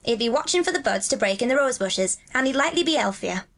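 A woman's voice: a little high, lively intonation, fast talker, a bit bright, pitched above the performer's own voice. A woman voicing a kindly, earnest country boy in a storybook, speaking plain, homely Yorkshire dialect in a reassuring way. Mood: hopeful.

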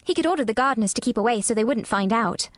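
A woman's voice: a little high, animated, rapid-fire, fairly bright tone, no echo, studio quality, pitched above the performer's own voice. A woman voicing an eager, earnest young English girl in a storybook, with proper, careful child's diction. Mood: eager, planning.

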